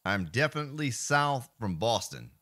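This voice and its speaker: A man's voice: medium pitch, moderate pace, slight background noise. A man streaming a survival game, chatting playfully with viewers and slipping into joking voices. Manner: playful, animated, drifts into mock voices and song snippets. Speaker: let's play streamer. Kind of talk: live gameplay commentary chatting with viewers.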